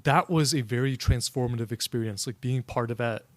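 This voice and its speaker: A man's voice: medium pitch, fairly quick, no echo. A man speaking in a mid-range voice, recounting his experiences as an interview guest. He tells them earnestly and often checks in with the listener. The recording is close and dry, with no room sound. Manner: earnest, keeps checking in with tag questions. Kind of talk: conversational storytelling.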